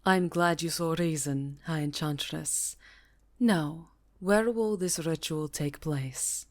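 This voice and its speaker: A woman's voice: low voice, slow pace. A woman voicing a haughty, menacing vampire countess with a silky, imperious delivery in a fantasy roleplay. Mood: smug, gracious. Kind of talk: fantasy ASMR roleplay acting.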